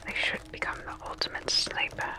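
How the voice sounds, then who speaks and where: A woman playing a calm, sinister sleep clinic scientist in a whispered ASMR horror roleplay, speaking with clinical, measured detachment. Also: close to an everyday voice. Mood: clinical, quietly sinister. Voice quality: breathy whisper.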